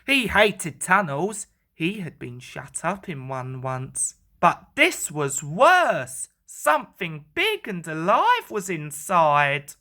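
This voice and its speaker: A woman tells a children's story with very lively intonation. The tone is bright and crisp-edged, and the pace is slow and deliberate. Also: lowish voice.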